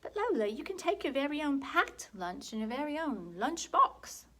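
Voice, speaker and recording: A patient big brother from a storybook, gently coaxing his little sister, as a woman reads his part. The delivery is upbeat and encouraging, on a fairly high voice. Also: sing-song, normal speed, full character voice.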